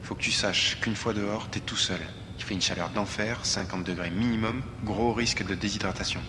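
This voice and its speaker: A man's voice: on the low side, steady tone, moderate pace, lightly acted. A man playing a blunt, bossy old hand briefing a newcomer in a comic audio drama, speaking curtly and matter-of-factly. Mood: stern. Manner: curt, matter-of-fact, bossy. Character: gruff, blunt veteran male staffer briefing a newcomer. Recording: echoey hall playback, faint noise.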